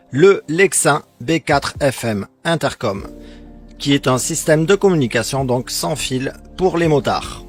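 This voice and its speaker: A man reads a scripted product comparison as a presenter, in a measured, explanatory sales style. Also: medium pitch. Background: background music.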